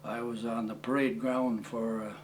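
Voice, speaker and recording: A man in his eighties, originally from Croatia, recalling his past in an oral history interview, speaking haltingly with many fillers and restarts. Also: mid-range voice, little pitch movement, a little hiss or hum. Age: 80s. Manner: plain, matter-of-fact storytelling. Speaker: war veteran interviewee.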